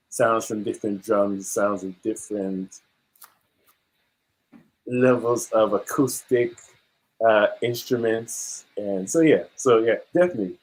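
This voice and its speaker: A man's voice: on the low side, a little mellow, rough recording. A man from Guyana, a musician chatting casually as an interview guest in an encouraging, easygoing manner.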